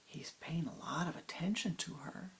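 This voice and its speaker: A woman's voice: gravelly, whispered. A woman voicing a seven-year-old girl who is sure she already knows everything, in a storybook tale. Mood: curious. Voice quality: high, quick and bright.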